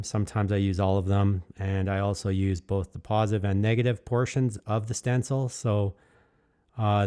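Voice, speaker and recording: A man's voice: fairly low pitch, a little mellow, clear, resonant tone. A man narrating his own work in an easygoing, rambling way, candid and enthusiastic, with many fillers and restarts. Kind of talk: casual reflective voice-over.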